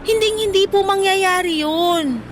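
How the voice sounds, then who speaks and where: A woman's voice: extremely high pitch, unhurried, background noise. A woman playing a caring, outspoken young Filipina daughter in a Tagalog radio drama, earnest and polite yet frank, with a Filipino accent. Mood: apologetic, pleading. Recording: music under the voice.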